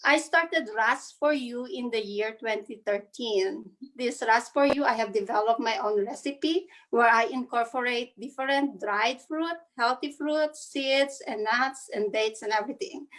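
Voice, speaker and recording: A woman in her thirties from the Philippines introducing herself on an online panel, earnest and halting, over a video call. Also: fairly high pitch, a bit bright, poor audio. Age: 30s. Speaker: panelist, spa owner.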